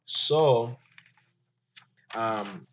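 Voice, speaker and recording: A man whose first language is Ewe, giving an informal language lesson in a slow, halting way with many fillers and restarts. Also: mid-range voice, slight background noise. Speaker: teacher. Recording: dull lo-fi recording.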